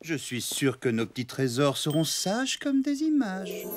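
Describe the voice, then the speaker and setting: A man voicing a cheerful, doting father elephant in a French children's cartoon, expressive and good-natured. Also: on the high side, a little slow. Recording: music behind the voice.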